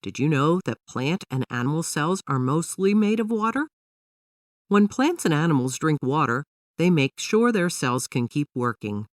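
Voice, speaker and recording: A woman's voice: deep voice, very animated, normal speed, no echo, clean studio sound, recorded from a distance. A woman voicing a patient father who explains things to his young daughter in a children's storybook, in an instructive manner. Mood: explaining.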